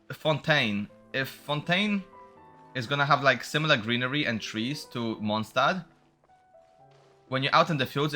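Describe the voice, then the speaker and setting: Bright-voiced man giving casual game commentary. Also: medium pitch. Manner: musing, thinking aloud. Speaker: streamer playing a video game.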